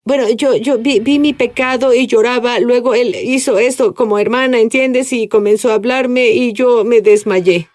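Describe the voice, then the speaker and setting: A woman guest tells her life story earnestly in an interview, with halting restarts. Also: a little high, fairly even intonation, a little mellow. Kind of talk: dubbed interview testimony.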